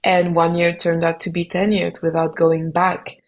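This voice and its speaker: A young adult woman, a French native speaker, answering podcast questions reflectively and pausing often to find her words. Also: lowish voice, little pitch movement. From Belgium. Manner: reflective, searching for words with frequent ums. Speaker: musician guest.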